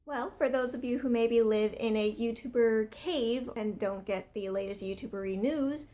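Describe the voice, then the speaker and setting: A young woman from the United States with a strained, hoarse voice, chatting casually to camera in a rambling, earnest way. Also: on the high side, normal speed, dark tone. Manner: rambling, earnest, with many fillers. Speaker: vlogger.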